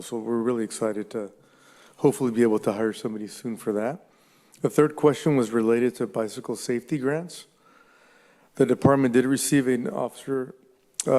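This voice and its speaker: A man from the police department giving a formal, measured report to a community advisory committee at a public meeting. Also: medium pitch, warm tone, long reverb tail. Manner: measured, reads through agenda items. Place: council chamber.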